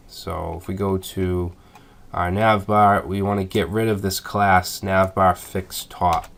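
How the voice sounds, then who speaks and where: A man with a stuffed-up voice from a cold, calmly explaining web code step by step in a screencast tutorial. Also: deep voice. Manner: methodical, thinking aloud with fillers. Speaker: coding tutorial instructor.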